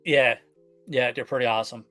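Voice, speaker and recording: A man from Canada chatting casually on a live stream, relaxed and full of fillers. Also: mid-range voice, fairly quick, faint music behind. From Canada, Newfoundland. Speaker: guest collector.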